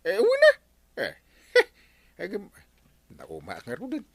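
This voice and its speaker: Very animated, blustery Ilocano village captain. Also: male voice, high voice, takes it slowly, full character voice. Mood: animated, nosy. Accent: Filipino, Ilocano. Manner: excitable, argumentative, hemming and chuckling. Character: Enteng, blustery comic village captain fond of drink. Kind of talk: broadcast comedy radio drama acting.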